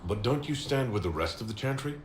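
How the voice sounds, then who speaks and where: An actor playing a direct, skeptical young hero who questions people in a fantasy video game. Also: male voice, mid-range voice, expressive, a little fast, reverberant, slight background noise, natural voice. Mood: wary, skeptical. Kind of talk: dramatic video game dialogue.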